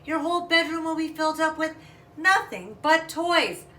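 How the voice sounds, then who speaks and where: A woman's voice: sits high, expressive, normal speed, bright, forceful tone, pitched above the performer's own voice. A woman voicing a patient mother bunny in a storybook, explaining things with emphasis. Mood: pleading. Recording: roomy, distant mic, faint noise.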